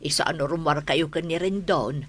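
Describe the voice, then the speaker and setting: A woman's voice: deep voice, normal speed, a bit bright. A woman playing a kindly, motherly middle-aged aunt in a radio-style story drama. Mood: encouraging.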